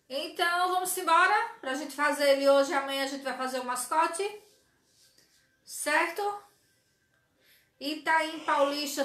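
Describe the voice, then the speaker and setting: A woman's voice: high voice, a bit bright, reverberant. A woman in her forties hosting a live sewing stream from her craft studio, chatting and greeting viewers by name. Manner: chatty, greets viewers by name, frequent "certo?" tag questions. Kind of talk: live sewing tutorial chat. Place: small craft studio with shelves of dolls, indoors.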